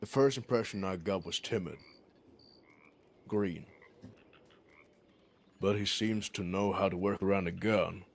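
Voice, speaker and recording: Very deep, gravelly, thoughtful Western man, acted out. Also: heavily acted. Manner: deliberate, reflective. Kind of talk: improvised roleplay dialogue.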